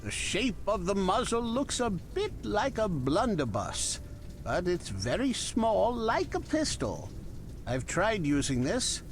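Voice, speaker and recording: A man voicing a chatty, obliging weapons merchant from the musket era in a video game, talking in unhurried salesman patter. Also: high voice, wildly swooping pitch, a little hiss or hum, exaggerated voice. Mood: helpful, musing. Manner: unhurried, conversational salesman patter with dramatic pauses. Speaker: game shopkeeper character.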